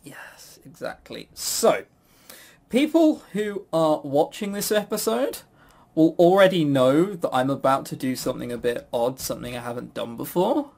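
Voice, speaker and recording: Higher-voiced man in rambling, jokey banter. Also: sing-song, moderate pace, vocal fry, a little mellow. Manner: rambling, jokey, self-mocking, swears casually. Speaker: podcast co-host and quizmaster. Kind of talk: casual banter.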